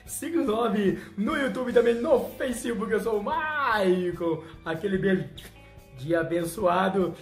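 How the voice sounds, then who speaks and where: A Brazilian man in his thirties talking to camera in a small room, hyped and shrill, urging viewers to subscribe. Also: lively intonation, a little slow, roomy sound, faint music behind. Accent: Brazilian Portuguese. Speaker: channel host.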